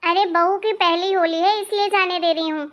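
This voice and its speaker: Woman voicing a high-pitched, placating older mother. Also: fairly even intonation, moderate pace, a bit bright. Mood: patient explaining. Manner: soothing, explaining. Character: placating older mother and mother-in-law, kindly, patient. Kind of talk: Hindi animated family story dialogue.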